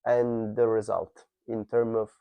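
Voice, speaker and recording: A man's voice: fairly low pitch, little pitch movement, creaky, clean tone, no echo, highs cut off. A man whose first language is Italian, talking through a project in a business podcast interview with fillers and restarts. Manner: measured, thoughtful, with frequent fillers and restarts.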